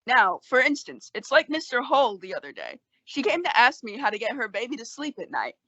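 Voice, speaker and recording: A woman's voice: fairly high pitch, sing-song, a little fast, fairly bright tone, dry recording, lo-fi sound, exaggerated voice. A woman in an old-time western radio play, voicing a crusty, teasing, long-winded frontier doctor.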